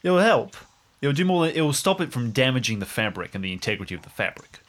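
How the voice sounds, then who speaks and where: Mid-pitched man in casual role-play. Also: big pitch swings, fast, fairly bright tone. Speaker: player in an improvised drama game. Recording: faint background noise and ambience.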